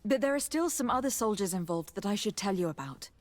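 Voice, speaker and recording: A woman's voice: medium pitch, animated, quick pace, dry recording, lightly acted. A woman playing a resolute, commanding noblewoman investigator in a video game, speaking formally and with assurance. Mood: determined.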